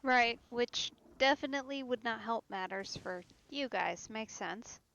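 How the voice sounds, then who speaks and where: A woman's voice: on the high side, unhurried, a bit bright, lo-fi sound, a little hiss or hum. A woman streamer giving casual commentary over a let's play. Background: game music.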